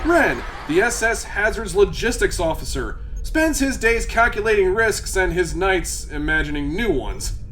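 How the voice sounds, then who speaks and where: A man's voice: sits high, big pitch swings, a little slow, hardly any pauses, fairly bright tone, a little hiss or hum. A man reading scripted comic narration for a story in a theatrical storytelling manner.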